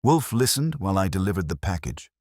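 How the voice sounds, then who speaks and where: A man's voice: low-pitched, sing-song, a little fast, darkish tone, no echo, clean studio sound. A man reading scripted first-person hardboiled detective narration as an audiobook narrator.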